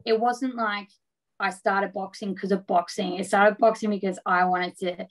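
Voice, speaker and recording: An Australian woman answering as a guest in a casual interview, relaxed and rambling, filling her talk with "like". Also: mid-range voice, moderate pace. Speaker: boxer, guest.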